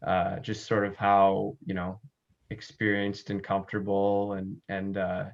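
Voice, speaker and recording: A man's voice: deep voice, monotone, measured pace, muffled recording. A young man chatting casually as a podcast co-host, recorded at home. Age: young adult. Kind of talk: casual chat.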